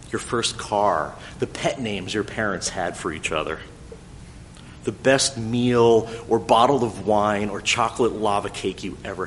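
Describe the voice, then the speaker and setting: A middle-aged man whose first language is French, telling a thoughtful, gently wry personal story in English. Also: fairly low pitch, slight background noise.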